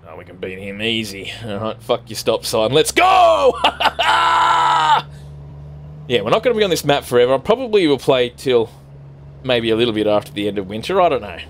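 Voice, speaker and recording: A man's voice: medium pitch, wildly swooping pitch, moderate pace, vocal fry, fairly bright tone, a little hiss or hum. A man with an Australian accent chatting casually to viewers while playing a farming game, rambling and swearing freely. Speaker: gaming streamer. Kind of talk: casual let's-play commentary while driving.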